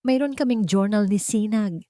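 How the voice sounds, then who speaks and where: A woman's voice: medium pitch, moderate pace, mellow, no room sound, clean studio sound, voice pushed up, lightly acted. A woman voicing a grieving mother in a story, cold, firm and defiant.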